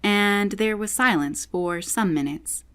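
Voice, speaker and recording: A woman's voice: mid-range voice, slow pace, a bit bright, clear, resonant tone. A woman reading a children's classic aloud as a scripted audiobook narrator.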